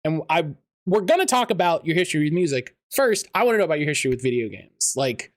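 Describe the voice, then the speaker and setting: A man hosting a casual chat interview, talking in a halting, rambling way and often interrupting himself. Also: a little high, very animated, no echo. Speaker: podcast host.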